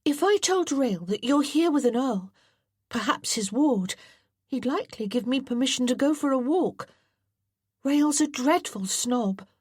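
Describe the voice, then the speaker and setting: Storybook boy, earnest and formal, voiced by a woman, high, bouncy delivery. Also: animated, measured pace, a little mellow, breathy edge, dry recording, studio quality, full character voice. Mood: pleading. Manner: precise, formal and proper. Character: eager, earnest well-bred young boy.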